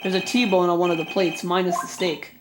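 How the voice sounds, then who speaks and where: A woman's voice: fairly low pitch, flat delivery, fairly quick, noisy background. A woman hosting a game playthrough, reading the on-screen text aloud and chatting casually, her voice a little worn out. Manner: reads game text aloud, laughs easily. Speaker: let's play host. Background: game music.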